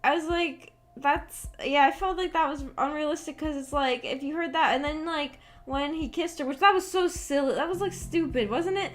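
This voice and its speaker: A woman's voice: high voice, normal speed, few pauses. A woman livestreamer chatting casually with viewers in a giggly, rambling way, peppering her talk with "like". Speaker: livestreamer, visual novel book club host. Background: background music.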